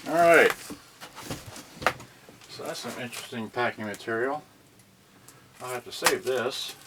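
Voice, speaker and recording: Male vlogger, medium pitch, unhurried and halting, casual muttered asides while handling packages, noisy recording. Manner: halting, muttering asides to viewers, mildly grumbling. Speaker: unboxing vlogger. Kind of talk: casual rambling unboxing commentary. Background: clicks and crinkling packaging.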